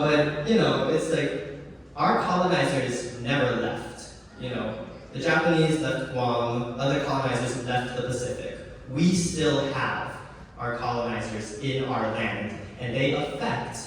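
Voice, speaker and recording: Young male student presenter, relaxed and hesitant, picked up from afar in a big reverberant hall. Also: medium pitch, a little hiss or hum. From Hawaii. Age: young adult. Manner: casual, hesitant, many fillers. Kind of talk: conference presentation. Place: large echoey hall. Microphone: distant room mic. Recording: echoey hall, voice from far off.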